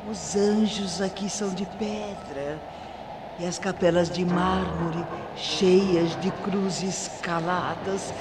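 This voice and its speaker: A woman's voice: lowish voice, very noisy. An actress playing the vengeful, grieving ghost of a wronged woman in a radio mystery drama, declaiming bitterly and theatrically. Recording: AM radio archive, loud music behind. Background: loud dramatic music.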